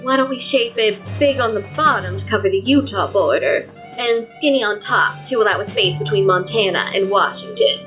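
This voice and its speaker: A woman playing a mysterious, cool and deliberate female conspirator on a secret committee in an audio drama. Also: mid-range voice, over music, close to an everyday voice. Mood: coy, sly.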